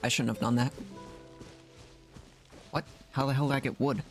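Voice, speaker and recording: A man's voice: mid-range voice, fairly even intonation, normal speed, faint music behind. A man doing casual live game commentary, talking to himself and reacting as he plays. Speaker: game streamer.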